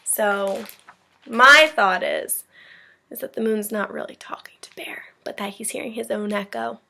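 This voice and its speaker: A woman teacher reading a storybook aloud to young children, pausing to explain things simply. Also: medium pitch, gravelly, pushed and bright.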